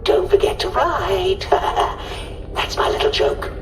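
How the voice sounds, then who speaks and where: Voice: mid-range voice, big pitch swings, slow pace, background noise. An actor voicing a dotty, cheerfully callous scientist in a video game, with a patronising, absent-minded manner, over an intercom. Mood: chirpy, clinical. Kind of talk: video game character acting. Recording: roomy distant intercom, music behind.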